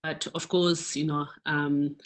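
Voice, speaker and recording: A woman's voice: low-pitched, flat delivery, slow. A woman host introduces the guest casually. She speaks hesitantly, with frequent "uh" fillers.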